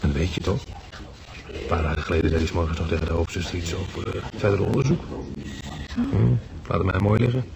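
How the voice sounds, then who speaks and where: A man's voice: low-pitched, fairly even intonation, brisk, creaky, over a noisy crowd. A man playing a resigned hospital patient in a radio play, speaking in a hesitant, colloquial, wry way. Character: resigned male hospital patient talking to visitor. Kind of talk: Dutch radio drama acting. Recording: noisy recording with background ambience.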